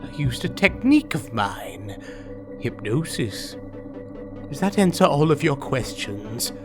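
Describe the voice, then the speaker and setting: A man plays a menacing alien villain in an audio drama. He speaks slowly and deliberately, with condescending, silky politeness and calm control, while loud music plays behind him. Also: mid-range voice. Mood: smug, controlled.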